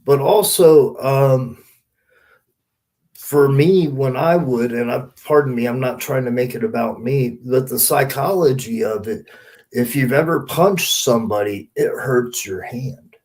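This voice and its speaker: A man in casual podcast chat, explaining things in a halting way, repeating and rephrasing as he comments on a match. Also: mid-range voice, a little mellow. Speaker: podcast co-host, former wrestler.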